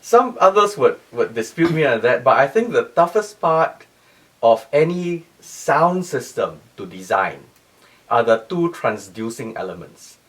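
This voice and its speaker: Male interviewee, technical explainer. Lively intonation, repeats points for emphasis, voice sits back from the microphone. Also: medium pitch, unhurried, recorded from a distance. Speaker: loudspeaker company CEO, interview guest. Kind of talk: interview explanation of audio design.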